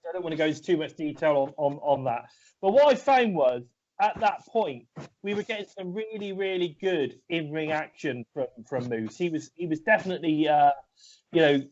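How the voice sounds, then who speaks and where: British guy with a cold, rambling about wrestling, bit high voice, dull lo-fi sound. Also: darkish tone, slight background noise. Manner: rambling, hesitant, full of restarts. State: bunged up with a cold. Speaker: podcast co-host. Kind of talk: casual wrestling chat.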